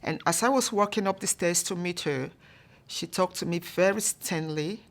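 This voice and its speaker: A woman from Cameroon telling a personal story in a calm, measured way, often saying "you know". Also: fairly low pitch. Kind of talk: storytelling to an audience.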